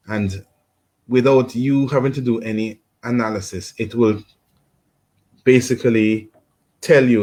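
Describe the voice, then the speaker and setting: A man's voice: fairly low pitch, a little mellow. A male guest explaining technology on the radio, hesitant, with many ums and restarts. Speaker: guest expert on artificial intelligence. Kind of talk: remote radio interview.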